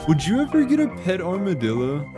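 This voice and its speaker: The high, lively male narrator you would hear over music in an online compilation of short viral clips. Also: brisk. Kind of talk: scripted reaction narration.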